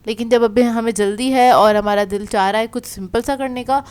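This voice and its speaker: Chatty lady vlogger w a sore throat, voice still clear n kinda high, explaining stuff casually. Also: fairly even intonation, brisk, darkish tone. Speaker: vlogger narrating her day. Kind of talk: casual conversational vlog voice-over.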